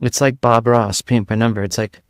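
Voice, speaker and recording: A man with a light voice, a guest in a casual interview, talking in rapid-fire analogies with frequent restarts. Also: medium pitch, steady tone, no echo, studio quality.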